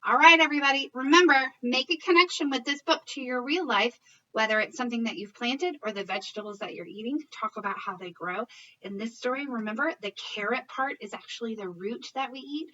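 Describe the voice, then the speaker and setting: High-voiced woman reading to young children. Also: brisk, recorded from a distance, lo-fi sound. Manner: expressive, encouraging, questioning, addressing children and parents. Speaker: early childhood educator reading stories. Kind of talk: children's picture-book read-aloud with commentary.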